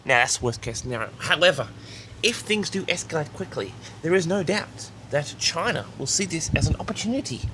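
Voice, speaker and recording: A man's voice: fairly high pitch, lively intonation, a little fast, hardly any pauses, noisy background. A man delivering a mock-serious, grandiose monologue, repeatedly addressing his audience with self-important certainty. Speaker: host of a comedic audio log.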